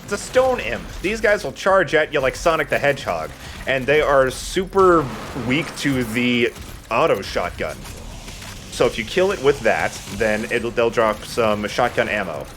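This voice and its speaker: A man's voice: medium pitch, very animated, unhurried, fairly bright tone, slight background noise. A man giving casual, opinionated and sometimes sweary video game commentary alongside a co-host. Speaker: let's play commentator. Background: game music.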